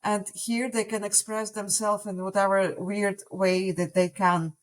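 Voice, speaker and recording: A woman's voice: medium pitch, level tone, a little slow. A woman from Russia, a native Russian speaker, speaking reflectively and hesitantly in a casual podcast chat. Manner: reflective, hesitant, many fillers.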